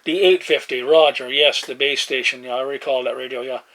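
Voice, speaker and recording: Easygoing cb radio guy, kinda halting, casual chat at his desk, noisy hissy recording. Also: mid-range voice, fairly even intonation, a bit bright. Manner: halting, laid-back, uses radio jargon. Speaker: amateur CB radio hobbyist. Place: indoor desk beside CB radio sets. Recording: noisy room with radio hiss. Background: radio static and room noise.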